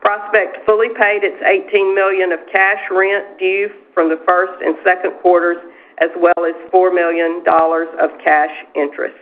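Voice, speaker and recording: A woman's voice: fairly low pitch, level tone, moderate pace. Female company executive, scripted earnings-call delivery, narrow telephone sound. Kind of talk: scripted corporate financial presentation. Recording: tinny, narrow phone-line conference call.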